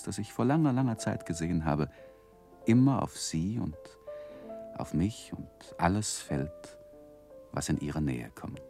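An actor playing an earnest, reflective gentleman who recounts his boyhood as narrator of a 1960s German radio drama, with careful, tender phrasing. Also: low voice, vocal fry, exaggerated voice.

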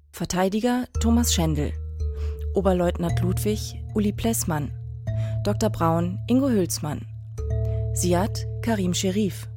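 Mellow, slow-speaking female radio announcer. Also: medium pitch. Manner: measured, neutral announcing. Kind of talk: scripted reading of radio play credits. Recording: soft music behind the voice.

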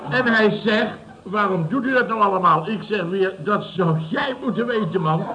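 An actor playing a boastful, boisterous builder boss who tells stories in a repetitive, blustering way in a radio comedy. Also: male voice, high voice, normal speed, very noisy, natural voice. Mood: smug. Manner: blustering, repetitive storyteller acting out quotes. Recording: dull old radio recording, studio audience.

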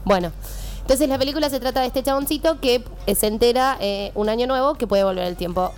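A woman's voice: fairly high pitch, fast talker, a little mellow, faint music behind. A woman with a Rioplatense accent, chatting and retelling a film plot as columnist on a radio show. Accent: Rioplatense Spanish. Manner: chatty, laughing, storytelling. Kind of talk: casual radio banter.

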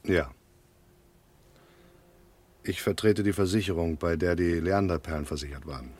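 A man's voice: deep voice, normal speed, a little mellow. An actor playing a terse, dry hard-boiled private detective who narrates his own case in a radio drama. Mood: terse, guarded. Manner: terse, dry, plain-spoken.

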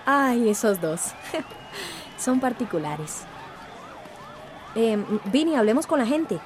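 An actress playing a level-headed community organizer in a radio drama, directive and reassuring. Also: female voice, on the high side, big pitch swings, slow pace, background noise. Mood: amused.

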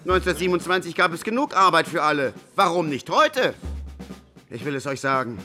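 Pompous politician with oratorical delivery. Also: male voice, a little high, sing-song, fairly quick, bright, forceful tone, soft background music. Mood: rabble-rousing oratory. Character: pompous ex-president turned demagogue party leader. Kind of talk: radio play acting.

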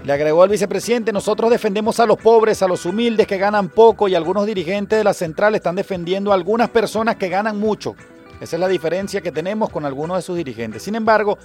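High-voiced Venezuelan radio host. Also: male voice, darkish tone. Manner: brisk announcer delivery. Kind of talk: radio news reading and interview. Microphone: studio microphone.

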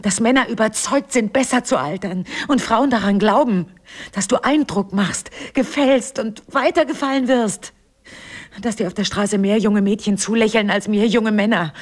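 Actress as a wounded, intense wife, clear-voiced. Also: female voice, medium pitch, normal speed, natural voice. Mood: anguished. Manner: intense, accusing, rhetorical, building in repetitions. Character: wounded, bitter middle-aged wife, desperate in love. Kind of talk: German radio drama acting.

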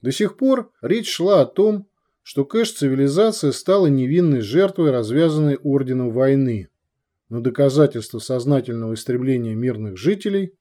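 A man's voice: mid-range voice, lively intonation, moderate pace, dark tone, natural voice. A man voicing a male television news presenter with a formal broadcast delivery.

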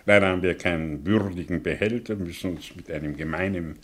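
An actor playing a sardonic, shabby professor with a husky, ironic drawl in a radio play. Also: male voice, low-pitched, normal speed. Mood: sardonic amusement. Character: sardonic, shabby male professor, theatrical and mocking.

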